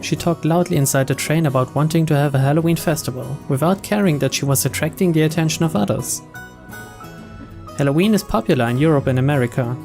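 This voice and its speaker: Male narrator, fairly high register, reading visual novel prose over soft guitar music. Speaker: let's play narrator reading game text aloud. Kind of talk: scripted narration.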